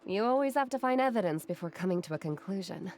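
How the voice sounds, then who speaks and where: An actress playing a gentle, fond female assistant to a scholar in a video game, speaking in a gently teasing way. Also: mid-range voice, animated, quick pace, faint music behind, natural voice. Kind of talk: game voice acting.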